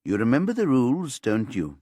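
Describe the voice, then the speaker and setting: A man voicing a calm, steady man in an audiobook, gently and deliberately soothing a troubled girl. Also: medium pitch, dry recording. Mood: calm, firm. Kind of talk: audiobook character dialogue.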